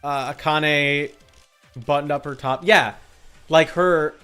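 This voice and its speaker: A man's voice: a little high, fairly even intonation, takes it slowly, bright, forceful tone, clear, resonant tone. A man streaming a game and chatting casually, giving emphatic, opinionated commentary. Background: game music.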